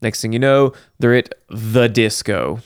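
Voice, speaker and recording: A man co-hosting a casual podcast, recapping a movie with lively, laughing delivery. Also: medium pitch, a little slow, clean tone, dry recording. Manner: animated, laughing, talks through the plot. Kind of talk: casual chat.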